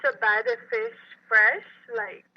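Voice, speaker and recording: A woman's voice: extremely high pitch, sing-song, slow, no room sound. A Belizean woman calling in to a radio show over a muffled phone line. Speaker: phone-in caller.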